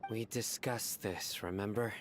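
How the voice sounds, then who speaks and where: An actor playing a stern, terse law enforcer in a video game, speaking in a serious, guarded, clipped way. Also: male voice, fairly low pitch, steady tone, unhurried, slight background noise, lightly acted. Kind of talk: scripted video game dialogue.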